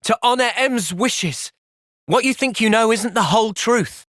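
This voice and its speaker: An actor playing an earnest, thoughtful young soldier in a video game, soft-spoken and sincere but resolute. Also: male voice, very high-pitched, a little slow, dry recording, studio quality. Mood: grave, earnest. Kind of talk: video game cutscene acting.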